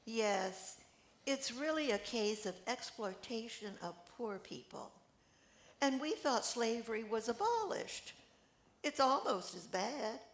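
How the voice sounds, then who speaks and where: An older woman reading the part of a warm, supportive friend in a play, in a deliberate, encouraging way. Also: a little high, animated. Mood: indignant. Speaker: amateur actor reading a play. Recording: echoey room with background noise.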